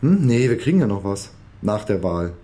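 Naive, low-voiced, mellow amateur crook. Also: male voice, big pitch swings. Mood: pleased, relaxed. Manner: hesitant, halting, sheepish. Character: naive, good-natured, easily overwhelmed amateur crook. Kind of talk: naturalistic German crime radio play acting.

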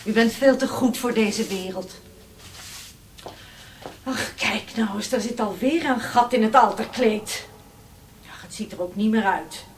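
An actress playing a practical, complaining housekeeper to a village priest, in a fussy manner, in a radio drama. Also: female voice, fairly high pitch, unhurried, long pauses, slight background noise, close to an everyday voice. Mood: grumbling.

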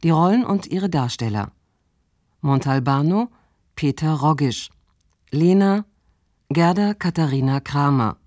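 A male announcer reading scripted radio play credits in a measured, formal way. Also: on the high side, expressive, a little mellow, no room sound, clean studio sound.

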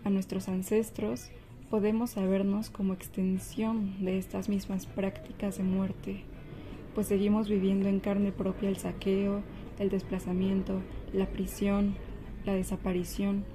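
A woman reading a measured, solemn spoken-word manifesto over faint music. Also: medium pitch, level tone.

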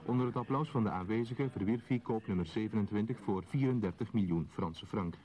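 A man's voice: on the low side, brisk, natural voice. A man narrating a radio drama in a formal, scripted documentary style. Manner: formal, reporting. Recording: crowd murmur behind voice.

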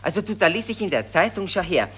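An actor playing a jolly, self-satisfied Austrian army lieutenant in 1940s radio drama style, speaking in colloquial officer slang. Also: male voice, sits high, big pitch swings, fast talker, fairly bright tone, slightly rough, exaggerated voice. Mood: breezy, complacent. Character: jolly, complacent Austrian army lieutenant reading newspapers aloud.

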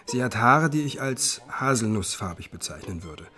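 A cultured, observant male musicologist speaks in a radio drama. His mid-pitched voice is measured and literary, choosing precise descriptive words over a bed of music. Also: animated, sharp tone. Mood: admiring, reflective. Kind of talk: radio drama narration and dialogue. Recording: music behind the voice.

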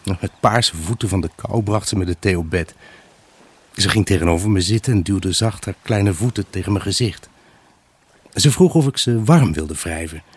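An actor playing a wistful, evasive husband left alone, in a halting, pensive radio drama monologue. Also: male voice, deep voice, animated, slightly rough. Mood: nostalgic. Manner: halting, pensive, addressing an unseen buyer. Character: wistful, evasive abandoned husband selling his house.

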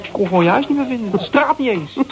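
An actor in a Dutch radio play as a defensive, insecure young man who talks haltingly in coarse, slangy language. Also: very high voice, animated, dull audio. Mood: rueful, eager. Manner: halting, repeating words, coarse slangy swearing. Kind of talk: naturalistic Dutch radio drama acting. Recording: radio play with crowd chatter behind.

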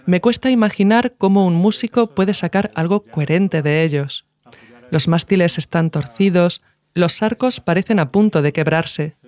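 A man's voice: high voice, a little mellow. A man reading scripted documentary narration as the narrator of a podcast.

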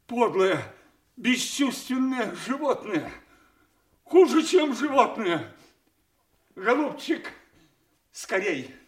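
A man's voice: sits high, full character voice. An older man acting an aging, gullible nobleman father in a classical audio play, emphatic and theatrical, anguished and suspicious. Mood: outraged. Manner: theatrical, emphatic, swinging from hushed to outraged.

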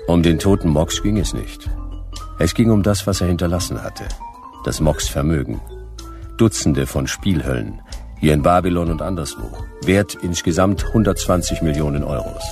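An actor playing a weary, wisecracking private detective in a radio play, laconic and sardonic in a noir style. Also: male voice, sits low, animated, normal speed, creaky, over music. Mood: dry.

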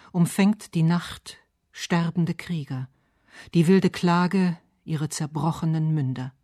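A man reading scripted narration and verse for a literary radio essay, measured and solemn. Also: fairly high pitch, a little mellow, no echo, studio quality.

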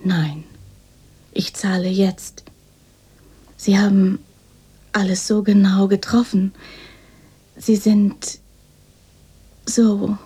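A woman's voice: medium pitch, steady tone, very slow, pauses often, mellow, full character voice. An actress playing a naive, poor young orphan woman in a radio drama, timid and deferential, speaking hesitantly in a whispery voice. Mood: grateful, hesitant. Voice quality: breathy, whispery.

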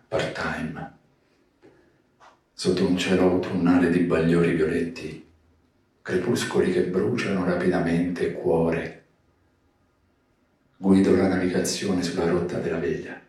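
A man with a deep voice gives a literary poetry reading for radio theatre. He takes his time, phrasing each line solemnly. The sound is heavily reverberant, with music behind him. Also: darkish tone. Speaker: poetry reader. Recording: very echoey, distant, music behind. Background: music bed.

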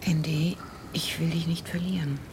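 An actress playing a detective's worried, weary wife, tender but reproachful, breathy and hushed, in a naturalistic radio drama. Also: female voice, deep voice, level tone, moderate pace, background noise, close to an everyday voice. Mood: worried, pleading.

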